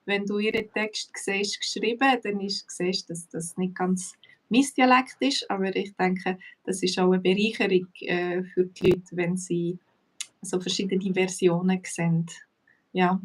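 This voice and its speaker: A woman's voice: mid-range voice, unhurried, far from the mic, rough recording. Swiss German woman, slightly off-mic. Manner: relaxed, hesitant with many fillers, laughs easily. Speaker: host and Swiss German teacher. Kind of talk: casual live-stream chat. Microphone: video call.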